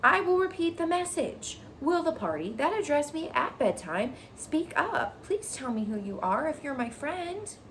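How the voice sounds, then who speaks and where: A woman's voice: high voice, very animated, measured pace, few pauses, a bit bright, slight background noise, voice pushed up, heavily acted. A woman voicing a young male pig who is earnest, polite and formal in a storybook reading. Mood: loud, formal. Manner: formal, emphatic announcing.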